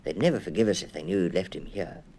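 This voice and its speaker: A man voicing a kindly, excitable middle-class wife in a children's audiobook, brisk and exclamatory. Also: deep voice, poor audio. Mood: concerned, pleading.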